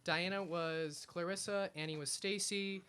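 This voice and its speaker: A man's voice: high voice, steady tone, a little slow, sharp tone, smooth. A young man hosting a radio show with casual, unscripted interviewing. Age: young adult.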